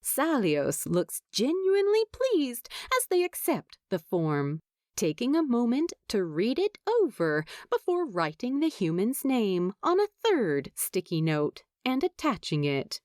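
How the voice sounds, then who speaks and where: Very animated, unhurried woman storyteller. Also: a little high, dry recording, studio quality. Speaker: fan fiction narrator. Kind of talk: expressive scripted story narration.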